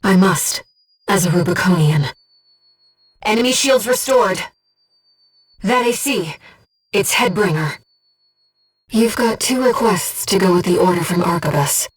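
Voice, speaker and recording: A gentle, earnest female spirit guiding a pilot in a video game. Her soft voice sits at a medium pitch and moves slowly, with composed, measured phrasing. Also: lively intonation, slightly rough, far from the mic. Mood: composed briefing. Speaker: mission support operator. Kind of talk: scripted video game voice acting.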